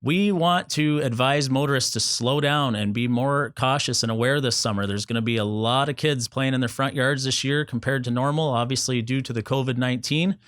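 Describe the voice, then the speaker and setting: An upbeat man hosting a talk show, cheerful and quick with a joke as he runs the segment. His voice is recorded dry and close, with no room sound. He moves briskly through introductions and announcements. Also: medium pitch, fairly bright tone, no echo. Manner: upbeat, promotional, joking. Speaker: show host.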